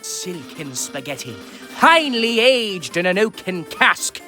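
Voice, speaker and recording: A man voicing a vain, naive cartoon skeleton from a video game, with a grandiose, theatrical delivery that jumps between hushed asides and loud exclamations. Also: high voice, very animated, slow, full character voice. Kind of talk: amateur video game character dub. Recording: voice over background music.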